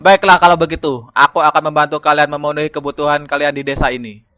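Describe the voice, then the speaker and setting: Guy playing earnest cartoon prince, formal, quick and bright, slightly high voice. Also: fairly even intonation. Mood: earnest, resolute. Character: proud prince turned helpful wanderer seeking peace.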